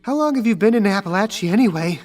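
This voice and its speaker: A woman's voice: mid-range voice, lively intonation, darkish tone, distant mic, lightly acted. A woman voicing a big, nervous young female traveller in a tabletop roleplay, with a hesitant manner. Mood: curious. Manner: hesitant, halting.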